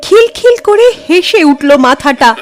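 Female audio-story narrator: high voice, unhurried pace, dramatic and suspenseful delivery. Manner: expressive, suspenseful.

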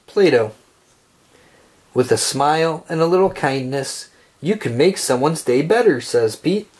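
A man reading a children's picture book aloud, phrasing it deliberately and expressively for young listeners. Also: a little high, lively intonation, a little mellow.

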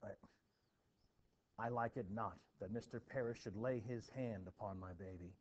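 A man voicing a blunt, guarded farmer from colonial times in a plainspoken, halting play reading. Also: fairly low pitch, close to an everyday voice. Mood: resentful, defiant. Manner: plainspoken, halting, archaic rural phrasing. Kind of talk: expressive classroom play reading. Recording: dull, noisy home recording.

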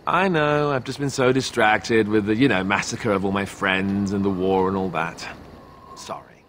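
A man's voice: fairly low pitch, fairly even intonation, normal speed, a little hiss or hum, natural voice. A man playing a good-natured, slightly awkward young warrior in a video game, conversational and self-deprecating. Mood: wry, apologetic. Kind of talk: video game character acting. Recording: game audio under loud background music.

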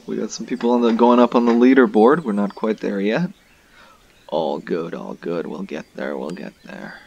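A young man giving relaxed, casual commentary to viewers while he plays a fishing game. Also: on the low side, gravelly, slight background noise. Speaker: gaming video host. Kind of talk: casual let's-play commentary.

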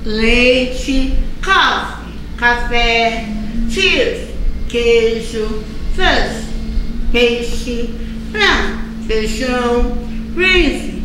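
A woman's voice: a little high, animated. A middle-aged Brazilian woman teaching an English lesson to young children, checking in often with "ok?" and "tá?". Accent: Brazilian Portuguese. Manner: slow, drawn-out explaining with frequent checks like "tá?" and "ok?". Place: school recording studio with a cartoon backdrop. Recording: very echoey room with clear background noise.

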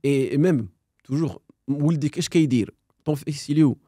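A man in his thirties with a slightly high-pitched voice tells a long personal story to a podcast host. He animates it by acting out the dialogue and dropping in Arabic phrases. The sound is close and dry, recorded on a podcast microphone. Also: fairly quick, dark tone, husky, no room sound. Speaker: podcast guest. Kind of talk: personal storytelling in casual conversation.

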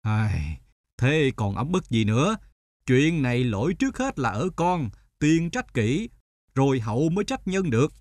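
An actor playing a stern, wise older male teacher in an animated folk tale, speaking in a measured, didactic way. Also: medium pitch, no room sound, clean studio sound. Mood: stern, admonishing.

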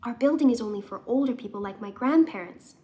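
A woman's voice: on the high side, lively intonation, quick pace, warm tone, natural voice. A young woman reading the part of a chatty, confiding small child in a children's storybook.

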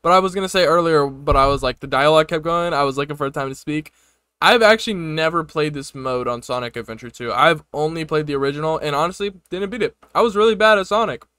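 Bright, high-pitched young man reacting. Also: fast talker, smooth, no room sound, studio quality. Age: young adult. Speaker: reaction video host. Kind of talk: casual reaction commentary. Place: small room, desk setup. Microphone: close desktop microphone.

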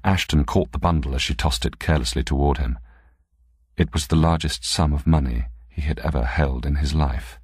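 A man narrating literary fiction as an audiobook reader, in a measured, deliberate way. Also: extremely low pitch, a little mellow, slightly rough, no echo, clean studio sound.

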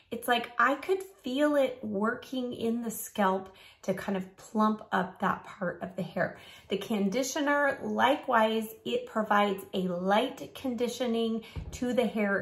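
A middle-aged woman, a little raspy from a cold, chatting upbeat and instructive as she reviews hair products from her home studio. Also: mid-range voice, fairly bright tone. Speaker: beauty vlogger. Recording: roomy home recording.

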